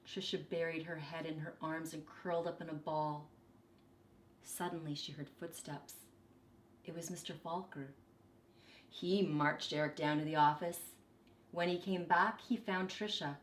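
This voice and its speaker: A woman reading a children's story aloud expressively, with deliberate, dramatic emphasis. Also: low voice, steady tone, echoey room. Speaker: storybook reader, teacher.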